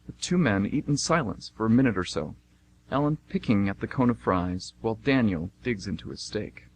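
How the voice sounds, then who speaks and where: Low, animated male radio play narrator. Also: normal speed, slight background noise, rough recording.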